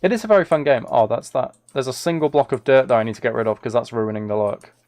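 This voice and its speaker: Rambling man streamer with creaky voice. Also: mid-range voice, quick pace, a little mellow. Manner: rambling, musing to himself while playing. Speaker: live streamer. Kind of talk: casual gaming livestream chat, thinking aloud.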